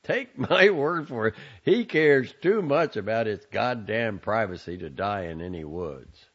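A man's voice: lowish voice, lively intonation, a little slow. A man reading aloud and voicing a sarcastic, short-tempered young man who swears and mocks. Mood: mocking. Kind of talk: audiobook character voice. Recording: dull lo-fi recording.

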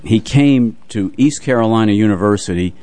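Older man reminiscing, low-pitched voice. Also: moderate pace, dark tone. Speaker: radio show co-host and music presenter. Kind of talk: casual reminiscing on a radio talk show.

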